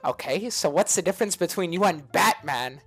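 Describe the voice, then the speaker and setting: A woman putting on a giggling, unhinged male clown villain voice, with shrill cackles and sudden shouts, pranking strangers in a voice chat. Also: lively intonation, moderate pace, breathy edge, faint music behind. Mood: mocking.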